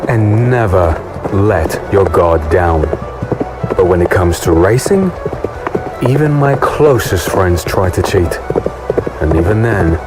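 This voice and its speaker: An actor playing a confident, teasing warrior hero who also narrates a fantasy audio drama, with a creaky voice. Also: male voice, low-pitched. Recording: loud music over the voice.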